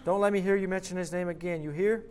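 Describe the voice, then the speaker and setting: A man voicing a cruel, possessive demon who mocks and taunts in a steady storytelling voice. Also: high voice, fast, slight background noise, pitched above the performer's own voice, lightly acted. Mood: taunting. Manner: mocking, taunting, shrieking. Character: mocking, clinging male demon, cruel and possessive.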